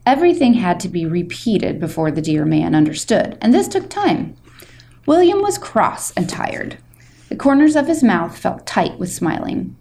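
A woman reading a book aloud as a storytelling host, with measured, expressive narration. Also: mid-range voice.

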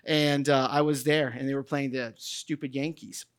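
A man's voice: medium pitch, level tone, normal speed, clear, resonant tone. A male pastor, preaching a sermon, rambling and joking with lots of fillers before building to emphatic points. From New England.

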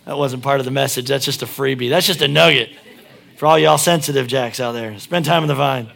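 A man's voice: mid-range voice, a little fast, vocal fry, fairly bright tone. A pastor preaching a sermon, chatting and joking, then driving his points home with emphatic peaks.

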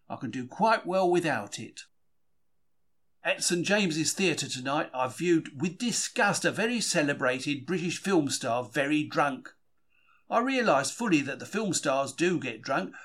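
A man's voice: fairly high pitch, fairly bright tone. A man reading a first-person diary from a script in a conversational, confiding storytelling manner. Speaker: audiobook-style reader of a diary.

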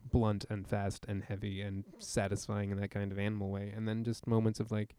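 A man's voice: lowish voice, monotone, fairly quick, mellow. A man co-hosting a podcast in casual chat, with a wry, joking manner. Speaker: podcast co-host.

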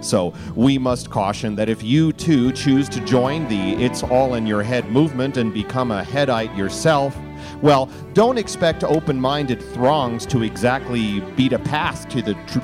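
A man's voice: mid-range voice, unhurried. Man narrating satirical radio over music. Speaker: radio show host. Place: live stage radio broadcast.

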